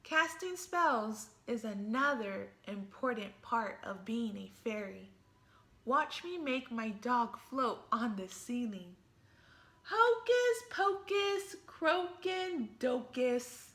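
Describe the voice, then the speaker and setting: Storybook read-aloud: a make-believe little fairy girl, voiced by a woman, high and bright, slow and lively. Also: exaggerated voice. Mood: playful. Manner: lively storybook reading with playful emphasis. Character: imaginative little girl who thinks she's a fairy. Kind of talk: reading a picture book aloud.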